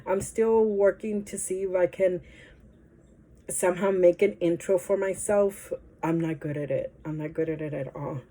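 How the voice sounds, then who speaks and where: A woman's voice: lowish voice, fairly even intonation, normal speed, mellow. A woman chatting casually to her camera in a rambling, hesitant way, her nose stuffy from allergies. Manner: rambling, hesitant, many fillers. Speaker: vlogger.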